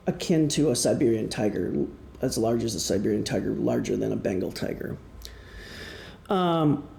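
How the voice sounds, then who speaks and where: A man from Wisconsin with a Midwestern American accent giving an explanatory public talk as an author, with deliberate pauses. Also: fairly high pitch, gravelly, darkish tone. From Wisconsin, United States. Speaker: author presenting his novel. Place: indoor presentation room. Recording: echoey room.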